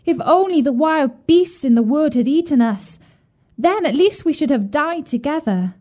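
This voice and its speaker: Fairy-tale child, played by a female narrator: small frightened girl, plaintive, raised pitch, unhurried. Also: sing-song. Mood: pleading, despairing. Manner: plaintive, pleading. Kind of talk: storybook character voice.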